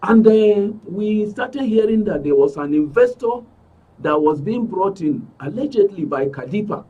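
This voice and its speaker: A man with a Nigerian accent and a husky voice giving an emphatic account as an interview guest, repeating phrases for stress. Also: high voice, lively intonation, unhurried, warm tone, distant mic, highs cut off. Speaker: interview guest, club member. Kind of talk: spoken account in a broadcast interview.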